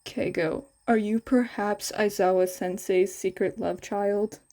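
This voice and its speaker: A woman plays a polite teenage student from a fan fiction story. He is reserved and earnest, talking slowly and quietly in a mellow voice. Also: mid-range voice, steady tone, lightly acted.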